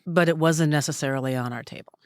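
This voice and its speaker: A woman in her sixties from Minnesota with a low, smoky voice, speaking softly and reflectively as a podcast guest. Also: fast talker, clean tone, no echo, studio quality. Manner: soft-spoken, reflective storyteller. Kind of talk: casual conversation. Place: recording studio.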